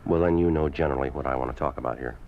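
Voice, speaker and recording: A deep-voiced communist official, smooth and domineering, curt when giving orders and slick when persuading. It is 1950s radio drama acting, heard through a dull, muffled old recording. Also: male voice, rapid-fire. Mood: smoothly pressuring.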